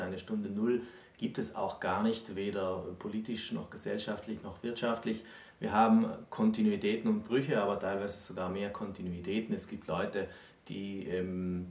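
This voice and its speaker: A man in his forties giving historical explanations in a measured, matter-of-fact way. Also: fairly low pitch, darkish tone. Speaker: co-presenter of a literature explainer series. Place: small indoor room with bookshelves. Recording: roomy, distant microphone.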